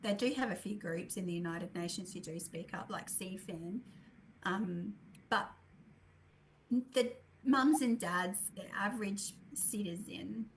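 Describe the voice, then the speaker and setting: A woman with an Australian accent and a croaky voice from a recent illness, presenting at a forum with many fillers and throat clearing. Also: medium pitch, measured pace, a little hiss or hum, low-quality recording. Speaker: co-founder of an advocacy group.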